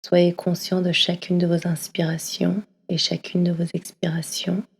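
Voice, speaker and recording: A woman leading a guided yoga nidra session, speaking slowly and softly, often slipping into a half-whisper. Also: fairly low pitch, completely flat delivery, gravelly, husky. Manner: slow, soft, often half-whispered delivery. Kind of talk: guided meditation. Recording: long reverb as in a hall.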